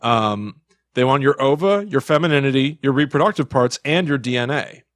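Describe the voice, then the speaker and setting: A man's voice: medium pitch, animated, normal speed, a bit bright, no echo, clean studio sound. A man hosting a casual podcast, talking conversationally with wry, exaggerated commentary. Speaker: podcast host.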